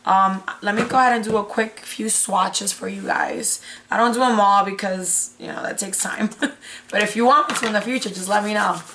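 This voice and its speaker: A young woman hosts a casual unboxing vlog in a hoarse, raspy voice at a medium pitch. She thinks aloud and breaks off her own sentences in a chatty way. Her voice sounds like it is giving out. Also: steady tone, moderate pace, pushed and bright, slight background noise. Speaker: beauty vlogger host. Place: small indoor room with curtain backdrop.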